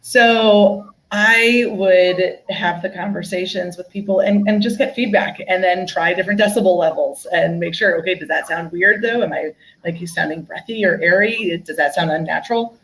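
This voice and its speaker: A woman's voice: fairly low pitch, moderate pace, fairly bright tone, rough recording. A woman on a live online panel, giving upbeat career advice in a chatty way with many "you know"s, speaking over a video call.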